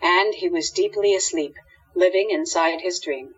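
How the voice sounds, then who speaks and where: A woman's voice: lowish voice, moderate pace, husky. A woman narrating a scripted radio drama as storyteller, on dull lo-fi audio.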